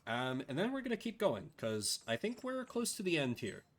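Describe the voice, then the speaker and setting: A man's voice: fairly high pitch, wildly swooping pitch, moderate pace. A man chatting casually to viewers as he streams a video game playthrough.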